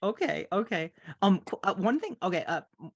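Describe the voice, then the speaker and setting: A woman hosting a casual discussion and asking questions in a halting, stammering way. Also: mid-range voice, sing-song. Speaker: podcast host. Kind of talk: casual interviewing.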